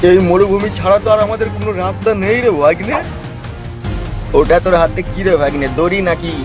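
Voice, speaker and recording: A man's voice: high-pitched, steady tone, a little fast, music in the background, lightly acted. A man voicing a cautious, worried homeless uncle in a comedy cartoon. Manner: hesitant, cautionary. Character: cautious, worrying uncle, homeless desert wanderer.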